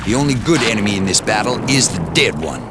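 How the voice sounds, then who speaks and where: An actor playing a gruff male commanding officer who gives orders in a clipped, commanding military briefing style. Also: fairly low pitch, sing-song, fast. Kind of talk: scripted game cutscene briefing. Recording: loud music behind the voice.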